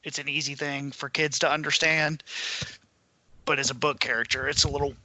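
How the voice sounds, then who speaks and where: A male podcast co-host with a very bright, forward voice pitched a bit high for a man. He talks informally, piecing thoughts together aloud in a casual group chat. The sound is close and dry. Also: little pitch movement, brisk, no room sound, low-quality recording. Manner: informal, thinking aloud in fragments. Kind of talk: casual panel discussion.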